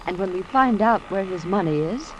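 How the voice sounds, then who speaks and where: An actress playing a cold, scheming young femme fatale with a sharp, scornful, theatrical delivery in a vintage radio drama. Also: female voice, medium pitch, lively intonation, normal speed, close to an everyday voice. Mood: coolly persuasive. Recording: noisy old radio drama recording.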